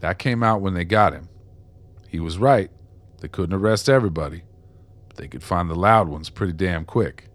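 A man's voice: deep voice, moderate pace, pauses often, no echo, close to an everyday voice. An actor playing a remorseful, ragged old ex-con in a radio drama, world-weary and streetwise as he confesses. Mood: bitter, resigned. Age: older.

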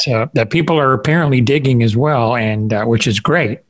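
A man hosting a podcast interview in a casual, rambling way, often halting as he thinks aloud. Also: medium pitch. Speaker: podcast host interviewing a guest.

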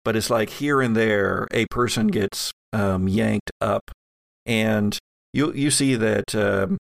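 A man improvising tabletop game narration as game master, halting as he searches for his words. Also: on the low side, gravelly, no room sound.

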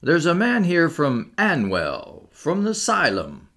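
Man putting on an excitable high-pitched landlady. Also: slow pace, heavily acted. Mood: astonished. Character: excitable, gossipy English landlady.

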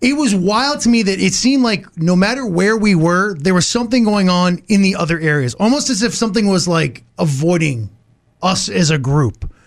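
A man's voice: high voice, expressive, moderate pace. A man co-hosting a casual talk show, with a joking, self-deprecating way of talking. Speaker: podcast and radio co-host.

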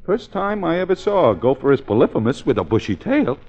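A man's voice: a little high, sing-song, a little fast, darkish tone. An actor playing a courteous, pedantic, slyly suspicious policeman in a 1940s radio comedy-mystery. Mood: firm, sly. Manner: over-polite, pedantic. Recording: muffled, noisy vintage radio recording.

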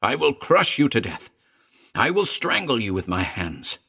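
A man's voice: on the low side, expressive, unhurried, a bit bright, husky, heavily acted. A man playing an anguished, haunted man in a horror story, with a choked and overwrought delivery. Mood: desperate rage. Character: horrified, anguished man haunted by his familiar.

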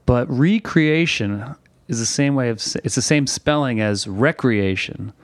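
A man, a touring songwriter, talking casually on a podcast in a relaxed, rambling way. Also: medium pitch, measured pace, no echo. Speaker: touring musician and songwriter guest.

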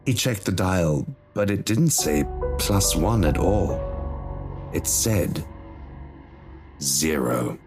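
A man's voice: low-pitched, animated, takes it slowly, pauses often, music in the background. A man reading a scripted horror story as its narrator.

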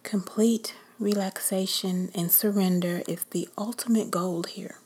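Woman meditation guide, breathy whisper, very slow and calm. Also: medium pitch.

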